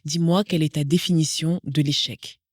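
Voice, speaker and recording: A woman's voice: low-pitched, fairly even intonation, moderate pace, dry recording, studio quality. Woman in her forties hosting a podcast. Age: 40s. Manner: reads a lyrical, poetic introduction, then chats warmly. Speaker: podcast host. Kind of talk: podcast interview.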